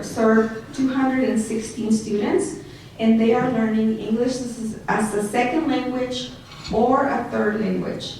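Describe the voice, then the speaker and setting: A middle-aged woman with a Mexican Spanish accent and a slightly high voice gives a speech at a banquet, reading prepared remarks and breaking off for joking asides. She is heard from well back in a big hall, with strong echo and faint room noise behind her. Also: darkish tone. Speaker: school district director introducing an award nominee. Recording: echoey hall recorded from far off.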